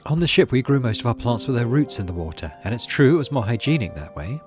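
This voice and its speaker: A man voicing a brisk, no-nonsense colonist mother in a game, instructing her child matter-of-factly. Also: medium pitch, big pitch swings, darkish tone, faint music behind, natural voice. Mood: instructive. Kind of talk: reading visual novel game dialogue.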